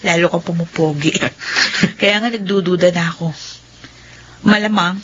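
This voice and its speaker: A woman's voice: fairly low pitch, steady tone, moderate pace, a bit bright, husky. A woman playing a suspicious, probing young woman in a radio drama, with a Filipino accent. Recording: muffled audio with faint noise.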